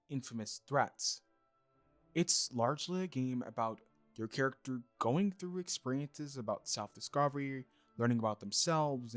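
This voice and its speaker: A man hosting and narrating a scripted review, speaking in an emphatic, expressive way. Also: mid-range voice, no room sound. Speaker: reviewer and host.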